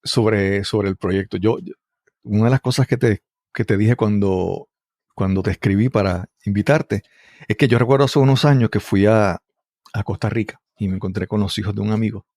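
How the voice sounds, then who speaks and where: A man's voice: fairly low pitch, steady tone, moderate pace, a little mellow, no room sound. A man hosting an interview, talking in a conversational, rambling way and often repeating words. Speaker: interview host.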